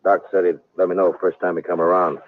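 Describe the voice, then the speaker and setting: Deep, husky, terse sheriff. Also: male voice, flat delivery, quick pace. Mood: dismissive. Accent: Western American. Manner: terse, guarded. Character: guarded, terse older small-town western sheriff. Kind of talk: old-time radio western acting. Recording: dry, muffled old radio recording.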